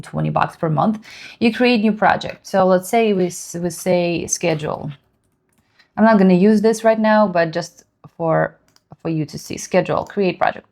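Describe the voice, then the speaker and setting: A woman's voice: on the low side, a little mellow. Woman thinking aloud. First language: Russian. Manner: halting, thinks aloud while typing, many fillers. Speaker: productivity vlogger. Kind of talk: screen-share tutorial.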